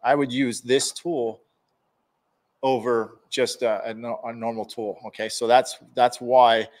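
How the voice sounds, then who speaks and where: A man's voice: medium pitch, level tone, measured pace, a little mellow. A man in his forties in a garage workshop, casually explaining dent repair tools to a live audience. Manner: casual, hands-on, chatty with viewers. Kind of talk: live-stream tool demonstration.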